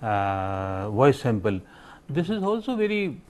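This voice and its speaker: A man with an Indian accent giving his views as a panel guest, halting and searching for words. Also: medium pitch, very animated. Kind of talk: panel discussion commentary.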